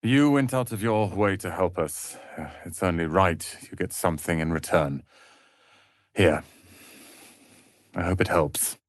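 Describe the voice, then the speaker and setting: A man's voice: deep voice, unhurried, pauses often, no echo, clean studio sound. A man playing a proud, prickly young apprentice wizard in a video game, speaking in a haughty, clipped and articulate way. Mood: bitter, subdued. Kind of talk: dramatic video game voice acting.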